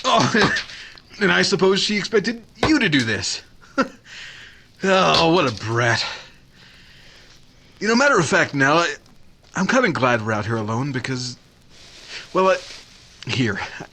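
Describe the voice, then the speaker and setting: A man acts out a nervous young suitor in an old-time radio play. His voice is fairly high and very lively in pitch, with halting, earnest phrasing. The recording is clearly noisy. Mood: coaxing, sheepish. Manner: hesitant, stammering. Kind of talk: 1940s radio drama acting.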